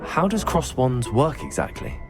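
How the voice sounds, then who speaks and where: Lively-pitched young student wizard. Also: a little slow, music in the background. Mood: polite, composed. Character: polite, self-assured teenage student wizard. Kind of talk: scripted video game acting.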